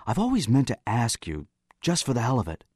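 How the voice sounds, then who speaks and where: Candid teenage schoolboy for an audiobook, friendly, flustered, spontaneous, lively swings in pitch man performing. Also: breathy edge, dry recording, clean studio sound. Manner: spontaneous, earnest, halting as he remembers.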